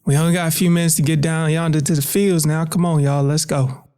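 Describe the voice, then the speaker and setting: A man's voice: fairly high pitch, steady tone, quick pace, a little mellow, close to an everyday voice. A man playing an enslaved young man with a Southern US accent, telling of plantation life in a plainspoken, weary, folksy dialect in a dramatic narration. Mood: gentle urging. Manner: folksy, storytelling. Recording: music under the voice.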